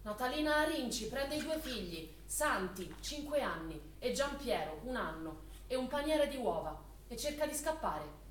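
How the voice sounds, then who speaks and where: A woman's voice: fairly high pitch, sing-song, normal speed, few pauses. A woman narrating a scripted war drama as a stage actor, telling the events dramatically. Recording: echoey distant stage recording, faint noise.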